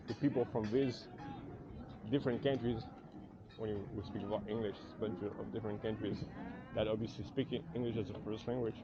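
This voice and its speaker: A man's voice: mid-range voice, background noise. A man from France with a French accent, chatting and joking in a rambling way while walking an outdoor night market as a travel vlogger, recorded on a camera he carries. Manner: chatty, joking, self-deprecating, many fillers. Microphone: 360 camera mic carried while walking. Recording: dull lo-fi street recording. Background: loud street and crowd ambience, vehicles.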